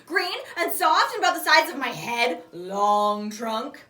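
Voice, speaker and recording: A woman's voice: high-pitched, sing-song, measured pace, bright, forceful tone, full character voice. A woman voicing a small, proud, childlike male creature in a children's story, exclaiming and self-important. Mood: excited. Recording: echoey room, distant microphone.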